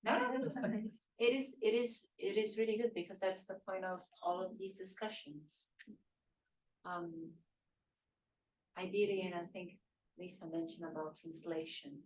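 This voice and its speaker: A woman's voice: medium pitch, moderate pace, a little hiss or hum, rough recording. A woman facilitating a security training workshop, talking things through and repeating words as she builds a point. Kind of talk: interactive training discussion.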